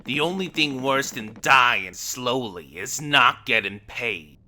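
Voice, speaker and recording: A man voicing a gruff, sarcastic crewman of a doomed space freighter in a video game fan dub, blunt and scornful. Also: mid-range voice, expressive, slow, bright, forceful tone, full character voice. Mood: grumbling.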